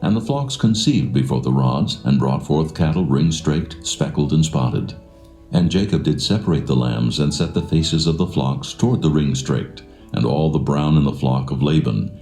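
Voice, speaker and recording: A man with a gravelly, mellow voice narrating scripture in a solemn, measured, stately way. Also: extremely low pitch, animated. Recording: roomy echo, faint music behind. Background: faint plucked guitar music.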